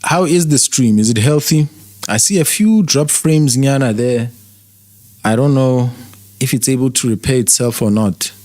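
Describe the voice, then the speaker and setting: A man's voice: medium pitch, normal speed, darkish tone, no room sound. A man hosting a livestream, rambling and sarcastic as he reacts to a video with his chat. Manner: rambling, sarcastic, loosely paced. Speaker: livestream host.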